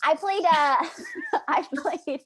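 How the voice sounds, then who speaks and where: A woman's voice: extremely high pitch, huge pitch swings, drawn-out words, a bit bright. A woman with a distinctive, youthful, almost childlike voice, chatting casually as an actress on a panel. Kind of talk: casual chat.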